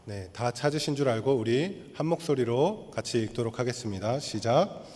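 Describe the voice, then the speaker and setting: A man preaching a sermon as pastor, his voice hoarse, speaking in a measured, explanatory way and leading the congregation through a reading. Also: medium pitch, lively intonation, darkish tone. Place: church sanctuary. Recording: long hall reverb.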